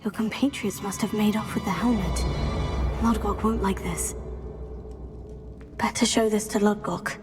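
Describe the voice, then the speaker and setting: An actress voicing a young witch student as the player character in a video game, with a calm, measured delivery. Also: medium pitch, natural voice. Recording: game audio with music behind.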